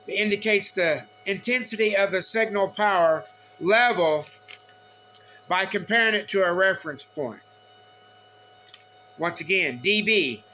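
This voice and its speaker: A man giving an informal technical explanation from notes, speaking haltingly in short, repeated phrases. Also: sits high, sharp tone, slight background noise, low-quality recording. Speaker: explainer of radio antenna measurements.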